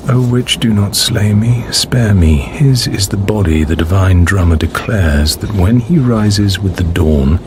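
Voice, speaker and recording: An actor in a radio drama playing a secret agent who muses aloud in a theatrical monologue. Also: male voice, sits low. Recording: noisy background ambience.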